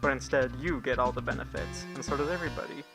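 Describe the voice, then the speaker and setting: Male narrator, mid-pitched, scripted educational explainer delivery, music underneath. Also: quick pace, vocal fry. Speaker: narrator of a puppet show.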